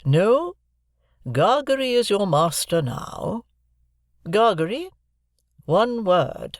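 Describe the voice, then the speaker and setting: A stately, eccentric old lady gives orders in a slow, emphatic voice, voiced by an audiobook reader. She sits far above the reader's usual pitch and weighs each word. It is recorded dry and close. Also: big pitch swings, dry recording. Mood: commanding. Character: imperious, eccentric old rich woman.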